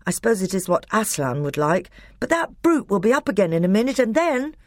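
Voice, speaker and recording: A woman voicing an excitable, anxious boy knight in a storybook reading. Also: medium pitch, fast, dry recording, studio quality, lightly acted. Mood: fretful, exasperated. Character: Edmund, excitable anxious boy knight cheering his brother.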